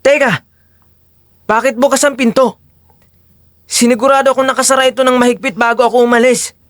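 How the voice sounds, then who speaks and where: A man's voice: extremely high pitch, steady tone, brisk, a bit bright, dry recording, clean studio sound. A man playing a loyal, anxious male friend in an audio drama, speaking in an agitated, earnest way. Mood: alarmed, loyal. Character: Carlito, loyal anxious young male friend working at church.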